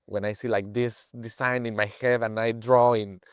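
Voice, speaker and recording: Young Mexican man, smooth and low. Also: little pitch movement, dry recording, studio quality. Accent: Mexican Spanish. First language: Spanish. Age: 20s. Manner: halting, searches for words in English. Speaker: guest, page owner. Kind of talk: casual interview chat.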